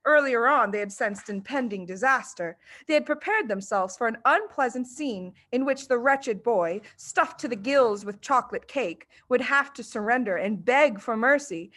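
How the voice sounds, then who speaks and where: A woman reading a children's story aloud as expressive scripted narration. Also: fairly high pitch. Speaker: storybook reader.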